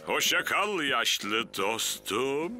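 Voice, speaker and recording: Proud old station officer, swooping delivery. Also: male voice, medium pitch, very animated, drawn-out words. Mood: proud, pleased. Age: older. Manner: emphatic, commanding. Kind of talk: animated children's cartoon dub. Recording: music behind the voice.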